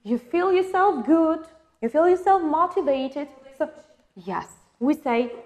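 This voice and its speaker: A woman's voice: high voice, expressive, unhurried, a little mellow. A woman with a Ukrainian accent teaching an English lesson, patiently asking questions and confirming answers. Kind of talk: online English lesson for exam prep.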